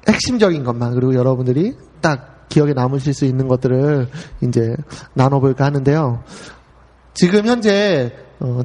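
A man in his forties hosting a relaxed talk show, chatty and full of easy fillers. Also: medium pitch, long reverb tail. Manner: chatty, frequent filler "ye", laughs easily. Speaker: host. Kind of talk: casual podcast talk.

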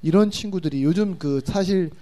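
A man's voice: high voice, normal speed, dark tone. A middle-aged man co-hosting a live stage talk show, introducing guests and bantering.

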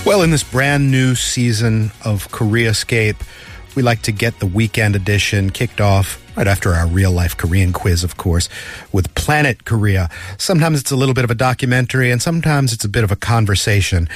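Guy hosting a radio talk show, kinda low voice, chatty, lots of restarts. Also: normal speed, faint music behind. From Canada. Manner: chatty, thinks aloud with restarts.

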